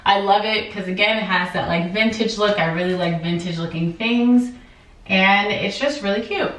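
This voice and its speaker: A woman's voice: lowish voice, a bit bright, far from the mic. Chatty young woman in an echoey bathroom. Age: young adult. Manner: chatty, frequent "like" fillers, candid. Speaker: vlogger. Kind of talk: casual vlog chat and clothing haul. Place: home bathroom with tiled shower. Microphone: camera on a tripod a few feet away. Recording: echoey bathroom, mic set back.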